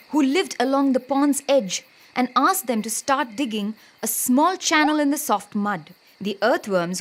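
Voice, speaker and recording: A woman narrating a scripted children's story as a storyteller. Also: fairly high pitch.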